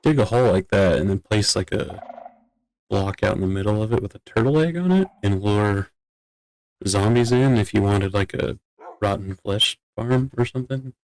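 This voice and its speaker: Low-voiced man in casual game chat. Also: normal speed, darkish tone, no room sound, low-quality recording. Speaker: roleplay gamer.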